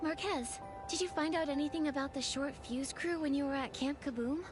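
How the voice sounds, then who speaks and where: A woman playing a calm, confident and sensible young heroine in a video game. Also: high-pitched, a little fast, music in the background, close to an everyday voice. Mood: calm, thoughtful.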